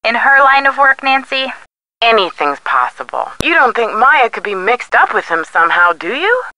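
A woman's voice: on the high side, big pitch swings, normal speed, sharp tone, dry recording, poor audio. An actress playing a detective's chatty, witty young female friend in a video game, with a playful, teasing manner. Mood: animated. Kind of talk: scripted video game dialogue.

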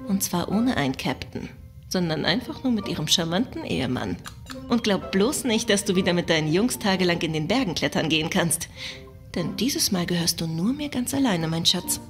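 A woman's voice: fairly low pitch, expressive, normal speed, close to an everyday voice. A woman playing a spirited starship navigation officer in a science-fiction radio play. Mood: teasing. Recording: music behind the voice.

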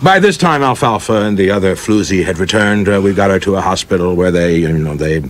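A man's voice: sits low, expressive, a little fast, a little hiss or hum. A man playing a flamboyant, self-assured young film director in a radio play, with a grandiloquent, theatrical manner. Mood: wry, evasive.

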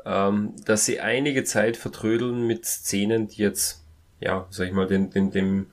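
A man in a casual podcast discussion, speaking haltingly as he searches for his words. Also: fairly low pitch, fairly even intonation.

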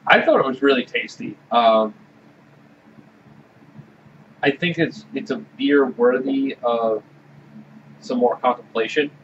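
A man guides a relaxed beer tasting and speaks in a measured, steady way. His voice sits at a middle pitch. The sound is a little dull, with a faint hiss underneath. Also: distant mic. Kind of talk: casual beer-tasting chat. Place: small room with orange walls.

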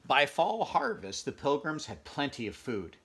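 A man in his fifties with a gravelly voice reads a picture book aloud, adding chatty, conversational asides for young listeners. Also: a little high, very animated, normal speed, fairly bright tone. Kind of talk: children's read-aloud with casual asides. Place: small furnished bedroom, indoors.

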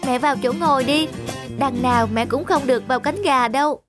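A woman's voice: high voice, brisk, over music, lightly acted. A woman voicing a kind, spirited little ballerina girl in a cartoon, earnest and childlike. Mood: urgent, helpful. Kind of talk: cartoon dubbing.

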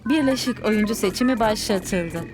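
A woman's voice: mid-range voice, animated, normal speed, lightly acted. A woman voicing a polite, formal computer in a cartoon, making impersonal automated announcements. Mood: neutral. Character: polite female computer voice of a training machine.

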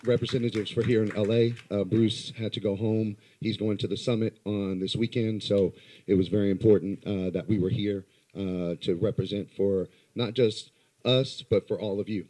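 A man in his thirties from Los Angeles with a low voice, giving an earnest, halting speech into a handheld mic at a small indoor political meeting. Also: fairly even intonation, mellow. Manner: earnest, halting with many fillers. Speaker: community organizer. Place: small crowded indoor campaign office. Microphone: handheld microphone.

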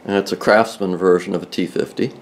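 A man's voice: low-pitched, steady tone, fairly quick, a little hiss or hum. Older guy showing off a socket bit from inside a car, plain methodical talk, restarts a lot. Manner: plain, methodical, restarts mid-sentence while explaining. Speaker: car restoration video host. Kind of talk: hands-on tool demonstration.

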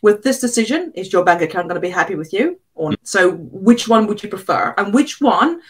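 A middle-aged South African woman, English not her first language, talking over a video call. She speaks plainly and with confidence, at a middle pitch for a woman, telling it straight from an office. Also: expressive, brisk. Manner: direct, self-assured, talks with her hands. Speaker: business coach guest. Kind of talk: podcast interview. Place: office with glass walls, indoors.

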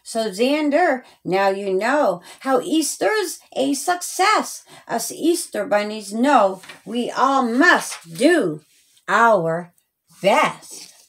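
A kindly Easter Bunny from a children's storybook, acted by a woman. He speaks high and slowly, guiding and explaining in measured rhyme. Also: big pitch swings, a bit bright, exaggerated voice. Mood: proud, explaining.

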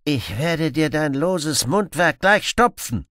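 A man's voice: a little high, animated, slow, fairly bright tone, dry recording, clean studio sound, pitched above the performer's own voice, heavily acted. A man voicing a boastful, murderous outlaw in an audiobook, grim, menacing and full of contempt. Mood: grim, threatening. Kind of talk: audiobook character acting.

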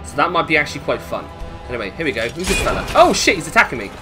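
A man's voice: on the high side, very animated, very fast, music in the background. A man giving casual, chatty gameplay commentary, thinking aloud and questioning himself as he goes. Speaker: gamer narrating a let's play.

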